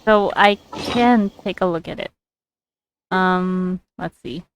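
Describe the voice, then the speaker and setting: A woman doing casual gameplay commentary, thinking aloud in a hesitant, halting way. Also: lowish voice, a little hiss or hum, poor audio. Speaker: game streamer.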